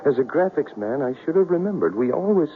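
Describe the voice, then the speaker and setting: Fragile, overwrought young artist, radio drama. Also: male voice, on the high side, very animated, slight background noise. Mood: bewildered. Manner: hesitant, emotional, halting. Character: fragile, anguished, bewildered young male artist. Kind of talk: 1970s radio drama acting. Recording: muffled old radio broadcast.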